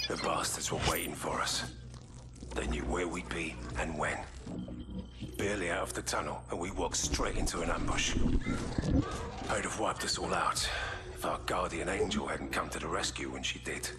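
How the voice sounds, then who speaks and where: Man, game villain played by an actor: very low, menacing, sardonic commander under loud cinematic music. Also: moderate pace, natural voice. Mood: grim, sardonic. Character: ruthless, sardonic military commander with a threatening temper. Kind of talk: sci-fi horror game acting.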